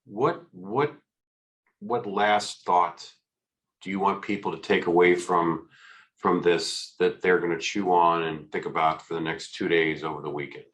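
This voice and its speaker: Man, deep voice, informal moderator, online meeting, conversational hosting. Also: steady tone, measured pace, a bit bright.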